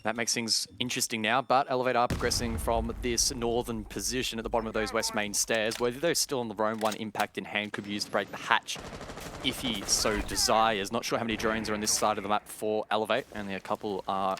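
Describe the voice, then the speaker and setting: A man commentating on a competitive shooter match, explaining tactics in a measured way. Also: on the low side, little pitch movement, noisy background. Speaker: esports commentator. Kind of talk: live analysis and commentary of a competitive shooter game. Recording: music and gunfire behind the voice.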